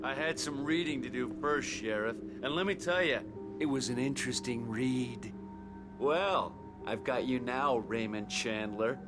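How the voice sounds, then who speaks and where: An actor playing a drunken, belligerent federal agent in a video game, sneering and mocking. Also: male voice, mid-range voice, measured pace, over loud music, lightly acted. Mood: gloating, mocking. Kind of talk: video game cutscene acting.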